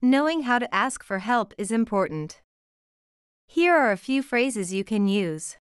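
A woman reading an English lesson, saying example phrases slowly and clearly. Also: a little high, animated, dry recording, clean studio sound. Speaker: English teacher. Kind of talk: scripted lesson narration.

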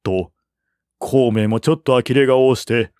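A man reading a historical war novel as a narrator, in a measured, formal and dramatic manner. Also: mid-range voice, a little mellow, no room sound, clean studio sound. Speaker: audiobook narrator.

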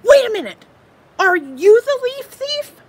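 A frantic, possessive squirrel from a children's storybook , played by a woman. The voice is very high and swoops wildly. It exclaims and accuses with melodramatic urgency. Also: very animated, measured pace, no room sound, exaggerated voice. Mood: distraught. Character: frantic, possessive squirrel, easily panicked, shrill and excitable. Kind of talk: children's storybook read-aloud.

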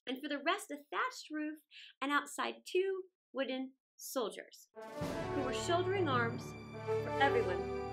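A middle-aged woman tells a children's story in a high, expressive voice with a creaky edge. Her intonation swoops, and she phrases deliberately, stretching words for drama. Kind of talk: expressive read-aloud storytelling. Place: small indoor room, plain wall.

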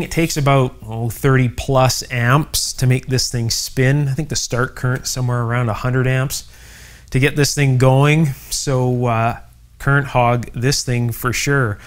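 A middle-aged man hosting an informal workshop video, explaining things in an enthusiastic, conversational way. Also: mid-range voice. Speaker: host of an electronics restoration channel. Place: indoor electronics workshop.

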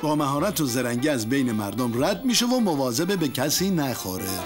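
An actor playing a proud, confident master in a comic cartoon, speaking with emphatic, suspenseful delivery. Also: male voice, medium pitch, sing-song, close to an everyday voice. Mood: suspenseful bragging. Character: proud, confident master bragging about his servant. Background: music.

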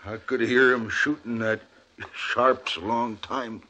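A man's voice: mid-range voice, steady tone, a little slow, sharp tone, slight background noise, low-quality recording. An American man playing a weak, frightened, wounded buffalo skinner in an old radio western. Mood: weak, pained. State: weak, gasping from a gunshot (acted). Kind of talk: 1950s radio western acting.

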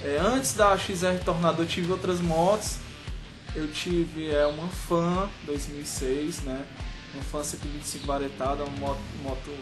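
A man, talking casually to camera as a vlogger, in a halting way full of verbal tags. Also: a little high, flat delivery. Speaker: motorcycle vlogger. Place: small room at home, indoors. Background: music.

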